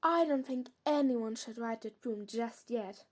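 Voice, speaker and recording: A woman's voice: a little high, unhurried, a little mellow. A woman playing a cautious, worried thirteen-year-old schoolgirl witch in an audio drama, speaking in a hesitant, probing, proper way. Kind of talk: fan audio drama acting.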